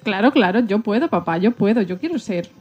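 A woman's voice: mid-range voice, lively intonation, a little fast, muffled recording, natural voice. A woman playing an eager, defiant young jungle girl in a children's radio play.

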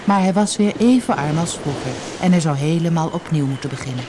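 Animated storybook narrator on noisy cassette. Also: mid-range voice, brisk. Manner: expressive, dramatic storytelling. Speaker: audiobook narrator. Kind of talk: scripted storybook narration for an audiobook. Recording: noisy old cassette audiobook transfer.

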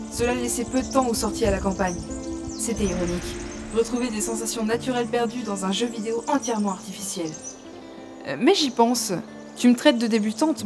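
A woman's voice: fairly high pitch, natural voice. An actress playing a curious, teasing young gamer who narrates her adventure as a novice ranger in an audio drama. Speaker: narrator and lead character. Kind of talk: first-person audio drama narration with dialogue. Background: prominent music.

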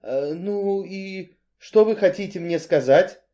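Guy doing a scared meek man, pleading and trembly, highish voice that swings a lot. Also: very animated, measured pace, mellow, lo-fi sound. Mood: anxious, fawning. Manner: plaintive, trembling, questioning.

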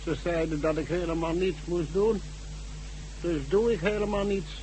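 An actor playing an entranced army sergeant in a Dutch radio drama, vacant and passive, replying slowly and indifferently. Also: male voice, high voice, steady tone, a little mellow, slight background noise, close to an everyday voice, rough recording. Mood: dazed, indifferent. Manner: vacant, indifferent, slow replies.

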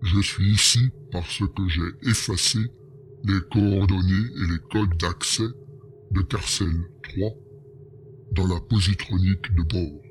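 Brief: man playing a worried, pleading bear-like alien counselor in an audio drama, low voice, slow delivery. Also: fairly even intonation, slight background noise. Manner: hesitant, pleading. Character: anxious male bear-like alien advisor.

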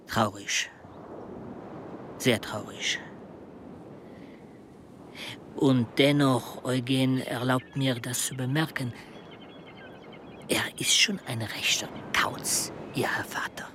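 A man's voice: mid-range voice, slow pace, pauses often, pushed and bright, whispered. An actor playing a courteous, reflective travel companion telling his story in a historical radio drama. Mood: courteous, musing. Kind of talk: audio drama dialogue and narration. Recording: clear ambient background noise.

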